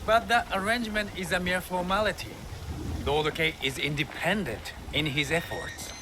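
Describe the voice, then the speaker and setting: A man's voice: high-pitched, normal speed, background noise, lightly acted. A man playing a grandiose, zealous samurai recruiter in a video game cutscene, talking in a florid, ingratiating, theatrical way. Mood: zealous, assured.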